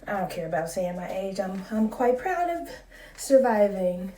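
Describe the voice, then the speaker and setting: Soft-voiced woman in her late thirties. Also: mid-range voice, echoey room, distant mic. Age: 30s. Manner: calm, measured and reflective. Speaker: school psychologist. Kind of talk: casual talking-to-camera vlog.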